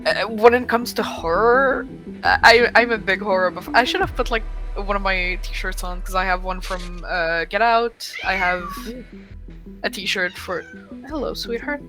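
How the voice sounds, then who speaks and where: A man from Quebec with a French Canadian accent, French his first language, introducing himself hesitantly with lots of pauses. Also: high-pitched. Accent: Québécois French. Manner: hesitant, many uhs, giggly. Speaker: co-op player. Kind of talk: casual self-introduction. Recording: guitar music playing underneath.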